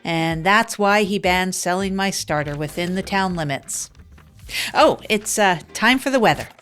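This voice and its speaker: A woman's voice: on the low side, a little slow, fairly bright tone, clean tone, faint music behind. A woman playing a fictional small-town radio host, rambling through a self-deprecating improvised comedy monologue. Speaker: radio show host character.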